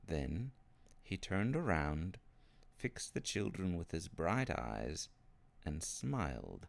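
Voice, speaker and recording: Deep, unhurried male storybook narrator. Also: big pitch swings, creaky. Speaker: storybook reader. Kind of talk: expressive scripted narration of children's fiction.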